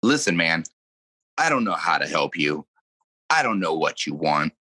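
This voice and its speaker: Guy playing gruffly curt tattoo artist, deep voice with a sharp edge, fed up, radio skit. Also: very animated, normal speed, no echo, studio quality, exaggerated voice. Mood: weary impatient. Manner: curt, unimpressed. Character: blunt, impatient male tattoo artist named Ed.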